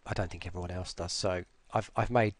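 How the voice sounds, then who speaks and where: A tired man giving a casual, unscripted software walkthrough in a hesitant, low-key, rambling way, speaking close to the mic. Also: fairly even intonation, a little fast, dry recording. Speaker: voice pack creator presenting an update.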